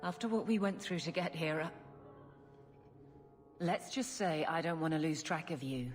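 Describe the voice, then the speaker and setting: A woman's voice: low voice, moderate pace, close to an everyday voice. An actress playing a blunt, no-nonsense female city guard officer in a video game. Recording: game audio with long reverb and faint music.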